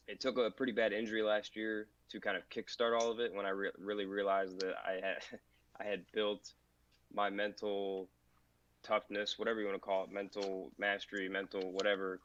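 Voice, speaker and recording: A low-voiced man speaks in a flat, even tone, stuffy and nasal from allergies. He tells his story haltingly, with fillers. The audio is dry and muffled. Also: dry recording. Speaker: podcast guest. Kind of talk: personal story in an interview.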